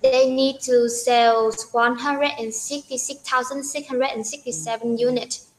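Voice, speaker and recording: A woman reading out figures in a student presentation, hesitating now and then. Her voice sits fairly high. She sounds echoey, with faint noise behind her. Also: steady tone, a little slow. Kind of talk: class presentation.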